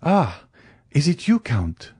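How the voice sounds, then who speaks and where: A man voicing an earnest, grieving young gentleman, affectionate yet bitter, with impassioned formal phrasing in a classic novel audiobook. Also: medium pitch, expressive, a little slow. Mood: surprised.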